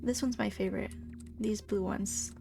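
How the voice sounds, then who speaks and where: A young woman streamer in college chatting casually while playing a game, soft-spoken and fairly monotone. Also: medium pitch, moderate pace, creaky. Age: young adult. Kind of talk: casual live-stream chat while gaming. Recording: game music playing behind. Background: game music.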